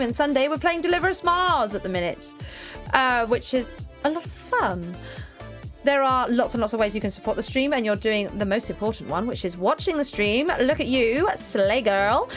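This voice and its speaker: A woman from the UK with a fairly high voice, a streamer wrapping up her live stream in easy, chatty talk. She sounds upbeat and laughs often. Faint music plays quietly behind her. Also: animated, moderate pace. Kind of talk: casual stream outro chat.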